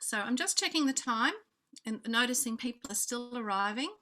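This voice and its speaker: An Australian woman hosting an online conference over a video call, giving introductions with frequent pauses and fillers. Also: on the high side, animated. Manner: warm hesitant introductions with many fillers. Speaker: conference host. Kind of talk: welcoming remarks on a video call.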